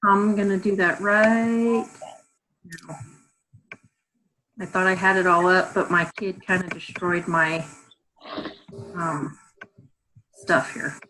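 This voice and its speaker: A woman's voice: on the low side, steady tone, takes it slowly, long pauses, bright, forceful tone, slight background noise, low-quality recording. Hesitant woman student on a call. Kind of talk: hesitant casual talk. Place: bedroom at home. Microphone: video call.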